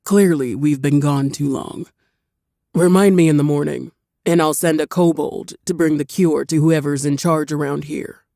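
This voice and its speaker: A woman voicing an amused, easygoing male demon who teases dryly, a little lower and louder than her narration. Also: steady tone, normal speed, gravelly, dry recording. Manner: laid-back, dry teasing.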